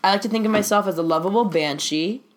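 A man with a high-pitched, shrill voice co-hosting a casual comedy podcast, joking and laughing a lot. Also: fast talker. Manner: jokey, laughs often, riffs on bits. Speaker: podcast co-host.

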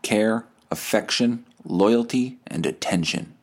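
A man's voice: lowish voice, slow pace. Man reading as a doting middle-aged sugar mommy. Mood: coaxing. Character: forty-nine-year-old woman, doting, possessive online sugar mommy.

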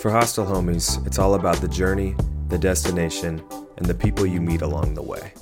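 Very low-voiced host, upbeat and encouraging, guitar music under the intro. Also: steady tone, a little fast. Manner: upbeat, frequent laughs and "mm-hmm". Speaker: podcast host. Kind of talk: scripted intro, then interview chat.

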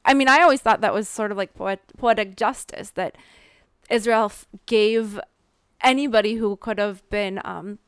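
A woman's voice: medium pitch, normal speed, smooth, no echo, studio quality. Thoughtful woman with a Minnesota accent. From American Midwest. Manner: thoughtful, with frequent um fillers and restarts. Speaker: author being interviewed. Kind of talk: reflective interview answers.

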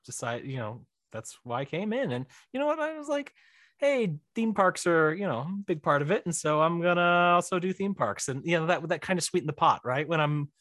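A man's voice: fairly high pitch, animated, a little fast, fairly bright tone, no echo, studio quality. An American man in his thirties chatting casually as a guest, rambling and often cutting himself off. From Missouri, US. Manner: rambling, digressive, self-interrupting. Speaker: podcast guest, film director. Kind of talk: casual chat.

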